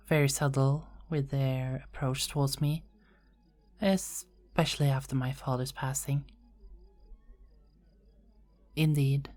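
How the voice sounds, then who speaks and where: A woman playing a caring, dutiful young queen in an ASMR roleplay, speaking formally and softly with small hesitant stammers. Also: rumbling low. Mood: calm, resentful. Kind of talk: ASMR roleplay, scripted character acting.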